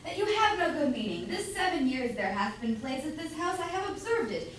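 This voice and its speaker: A woman's voice: sits high, very animated, fairly quick. A woman playing a bossy, meddling citizen grocer and proud husband in an amateur staged reading of an old comedy, blustering and emphatic. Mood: indignant, demanding. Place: indoor room at a college, far from mic. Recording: distant echoey room, faint noise.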